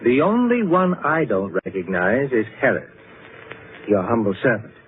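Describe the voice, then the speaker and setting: A man's voice: medium pitch, huge pitch swings, moderate pace. An actor playing a pompous, patronizing intellectual clubman who lectures emphatically in an old radio drama. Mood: curious. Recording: tinny, hissy old radio recording.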